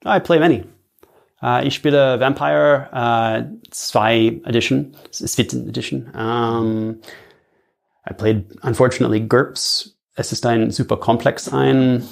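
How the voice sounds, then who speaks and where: Male podcast guest, native English speaker, mid-pitched with a gravelly fry, casual, slips into halting German. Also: fairly even intonation. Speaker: podcast guest, audio drama creator. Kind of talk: casual interview chat.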